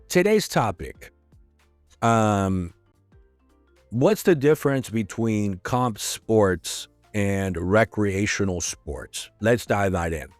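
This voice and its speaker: A middle-aged man hosts a casual podcast, his lowish voice worn hoarse. He talks frankly and conversationally, close to a dry mic. Soft music plays faintly underneath. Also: no room sound. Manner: frank, conversational, sprinkled with swearing. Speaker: podcast host. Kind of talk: casual solo podcast talk.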